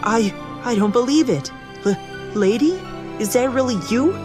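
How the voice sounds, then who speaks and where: Woman as gentle, emotional older male engine. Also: a little high, normal speed, close to an everyday voice. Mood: moved. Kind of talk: comic dub voice acting. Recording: loud music behind.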